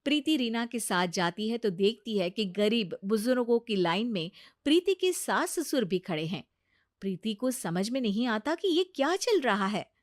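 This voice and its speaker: A woman reads a scripted moral tale as its narrator. Her delivery is expressive, with lively intonation. The recording is dry, with little room sound. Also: fairly high pitch, normal speed, dry recording. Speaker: story narrator.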